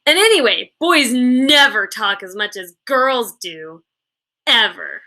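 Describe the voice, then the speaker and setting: A woman's voice: fairly high pitch, animated, takes it slowly, bright, forceful tone, full character voice. A woman voicing an exasperated, combative fifth-grade boy in a storybook, with blunt, emphatic retorts. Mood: argumentative.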